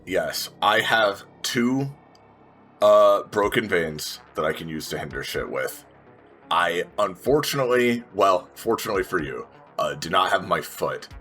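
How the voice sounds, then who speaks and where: A man's voice: deep voice, expressive, a little slow, pushed and bright, soft background music. A man playing a tabletop game, talking through his moves and narrating his character in a casual way.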